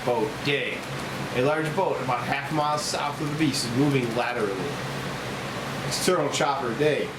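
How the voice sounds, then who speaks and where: A man reads screenplay action and scene headings as narrator at a table read. His mid-pitched voice sounds in a roomy space, with loud steady noise behind it. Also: moderate pace. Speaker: stage directions reader.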